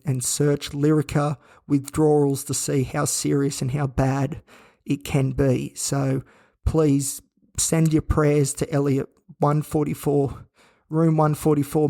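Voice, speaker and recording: Croaky, worn-out man. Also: fairly high pitch. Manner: flat, weary, rambling with restarts. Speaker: podcast host. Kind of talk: candid solo podcast monologue. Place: hospital room. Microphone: own recording gear.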